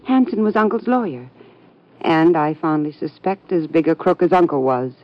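Dry, sardonic niece, very low voice, old radio play. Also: female voice, animated, normal speed. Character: sardonic, cool young woman, a niece. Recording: muffled old radio recording.

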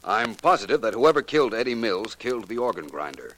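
A man's voice: on the low side, big pitch swings, fast talker, fairly bright tone. A man playing a suave, self-assured amateur detective with precise, polished speech in a 1940s radio drama. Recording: noisy 1940s radio broadcast recording.

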